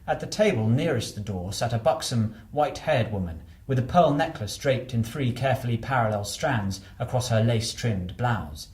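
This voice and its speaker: A man's voice: medium pitch, animated, moderate pace, hardly any pauses, darkish tone, reverberant. A man reading a novel aloud as a scripted narration. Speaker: audiobook narrator.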